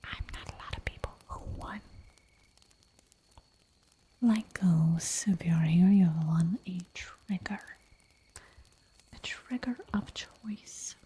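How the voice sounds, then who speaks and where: A woman whispering softly for an ASMR livestream, chatting in a giggly, rambling way while she draws at home. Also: lowish voice, slow, pauses often, low-quality recording. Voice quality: soft whisper throughout. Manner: giggly, rambling, playful asides.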